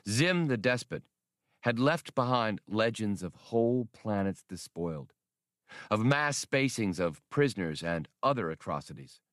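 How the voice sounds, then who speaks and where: A man's voice: fairly low pitch, expressive, a little slow, a bit bright, no room sound, studio quality. A man reading an adventure novel aloud as a scripted audiobook narrator.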